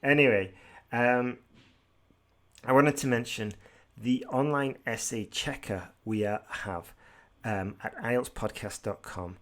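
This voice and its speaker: A British man from Britain teaching an exam-prep podcast tutorial in a brisk, direct way, with some fillers and restarts. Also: medium pitch. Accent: British English.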